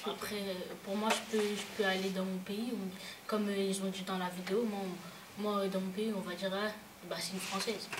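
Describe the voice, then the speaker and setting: A woman's voice: mid-range voice, level tone, brisk, background noise. A teenage girl from France in a casual classroom debate, hesitant with many fillers and restarts, heard in an echoey room from a distance. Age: teens. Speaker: student in a group discussion.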